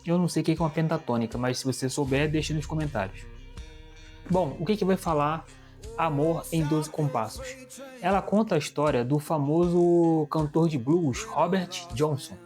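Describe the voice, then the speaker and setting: A man's voice: fairly high pitch, moderate pace, darkish tone, faint music behind. A man with a Brazilian Portuguese accent, casually reviewing a comic book and often restarting his sentences. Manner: chatty, restarts sentences, shows pages to camera.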